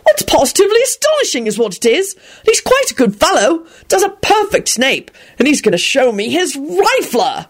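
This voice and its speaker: A woman's voice: mid-range voice, very animated, unhurried, pitched above the performer's own voice. A woman voicing an enthusiastic, fussy middle-aged wizard man in an audiobook-style story. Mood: delighted. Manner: exclaiming, enthusiastic, unrepentant.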